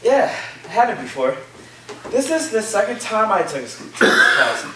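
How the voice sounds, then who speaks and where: A man's voice: high-pitched, sing-song, moderate pace. A man as a friendly student, echoey and distant. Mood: friendly, casual. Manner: casual, hesitant, amiable. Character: friendly, chatty young male returning college student. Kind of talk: staged play reading. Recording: echoey, distant room recording with faint noise.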